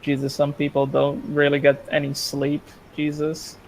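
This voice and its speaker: Creaky, flat-toned man in gaming chat. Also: on the high side, normal speed, a crowd in the background. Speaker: gamer friend on voice chat. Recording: noisy voice chat mic.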